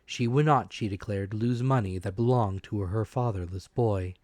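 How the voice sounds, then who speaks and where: Low-voiced man narrating, dry close sound. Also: moderate pace, darkish tone, no echo. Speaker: audiobook narrator. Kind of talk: dramatic scripted narration of a classic adventure story.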